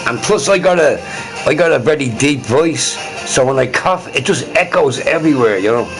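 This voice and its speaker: A man's voice: medium pitch, lively intonation, moderate pace, music in the background, rough recording. A man streaming on his own, chatting casually in a rambling, profane way and laughing often. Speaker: livestreamer. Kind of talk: rambling solo stream chat.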